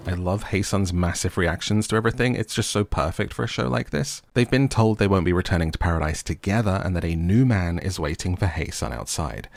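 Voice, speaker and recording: Low-voiced man giving a wry recap. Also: expressive, fairly quick, vocal fry, hardly any pauses. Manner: playful, tongue-in-cheek. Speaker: host of a recap and review channel. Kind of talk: scripted recap narration with wry jokey asides.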